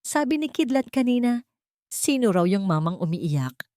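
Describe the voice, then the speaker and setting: A mortally wounded young man speaks gently and faintly, voiced by a woman storyteller in her own register. The recording is dry and up close. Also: fairly high pitch, sing-song, moderate pace, no echo, voice pushed up. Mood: tender. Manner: soft, faint.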